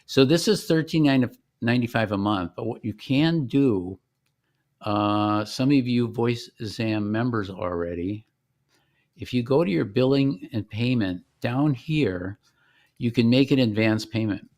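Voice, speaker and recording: A man giving an informal product demonstration as a company founder, explaining in a halting, conversational way. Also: medium pitch, lively intonation, darkish tone.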